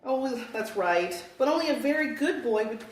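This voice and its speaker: A woman's voice: sits high, expressive, moderate pace. A woman acting a soothing but evasive mother of a small boy in a live comedy sketch. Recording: roomy live recording, distant mic.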